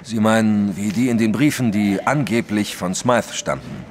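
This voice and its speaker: An actor playing a big, brusque, skeptical detective in an audio drama, questioning in a dry, commanding way. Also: male voice, on the low side, moderate pace, a little mellow, close to an everyday voice. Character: huge, brusque, skeptical French male detective. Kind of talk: German audio drama acting.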